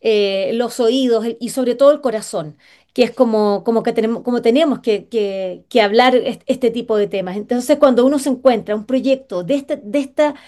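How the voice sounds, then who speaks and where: A woman from Chile hosting a conversational interview, pausing often with fillers and restarting her sentences. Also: on the high side, darkish tone. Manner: hesitant, many fillers and restarts. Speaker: radio show host.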